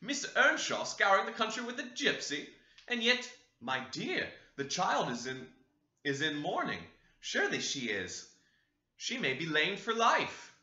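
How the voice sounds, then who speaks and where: A man's voice: high-pitched, wildly swooping pitch, measured pace, bright, forceful tone, pitched above the performer's own voice, heavily acted. A man doing a shocked older lady. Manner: shocked, fussy. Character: shocked older gentlewoman, mother. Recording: echoey, dull lo-fi recording.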